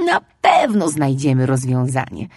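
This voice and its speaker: A woman's voice: rumbling low, huge pitch swings, unhurried, a little mellow, slightly rough, no echo, studio quality, heavily acted. A woman voicing a kind, curious seven-year-old boy who asks earnest questions and reassures a younger child. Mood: reassuring.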